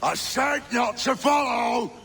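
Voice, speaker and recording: A crazed, menacing pirate captain for a video game. His high voice crackles with heavy gravel and swings widely, drawing words out in sneering mockery. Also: animated, takes it slowly, raised voice, loud music behind the voice, exaggerated voice. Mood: raging. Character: vicious, mocking, unhinged male pirate captain. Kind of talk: dramatic video game cutscene acting.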